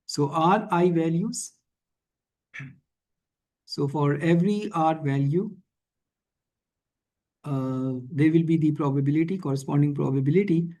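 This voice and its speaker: A man teaching in a screencast tutorial, thinking aloud haltingly and often checking in with a questioning tag. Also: fairly high pitch, dark tone, poor audio. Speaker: instructor. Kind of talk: screencast programming and statistics tutorial.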